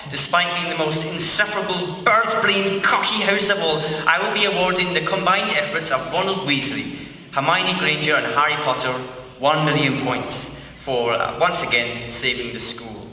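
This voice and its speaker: A man as a pompous, very forceful headmaster. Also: fairly high pitch. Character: pompous, blatantly biased headmaster of wizard school. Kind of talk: comic amateur radio play acting, mock speech. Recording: echoey hall from far off, faint noise.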